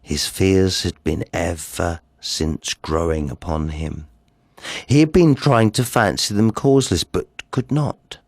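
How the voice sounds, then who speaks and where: A man's voice: low-pitched, lively intonation, no room sound, heavily acted. A man playing a nervous, obsessive madman confessing a murder, in a theatrical gothic horror monologue. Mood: dark, sinister. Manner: theatrical, slow, swinging from hushed to agitated.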